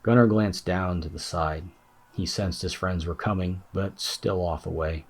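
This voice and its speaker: Deep-voiced man narrating a fantasy story. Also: steady tone, unhurried. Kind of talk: scripted fantasy audiobook narration.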